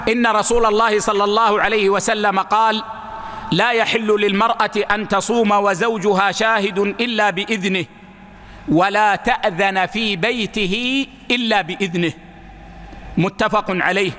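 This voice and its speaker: A man giving a religious lesson, explaining texts in a measured, teaching way and addressing his listeners as brothers. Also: sits high, steady tone, sharp tone, long reverb tail.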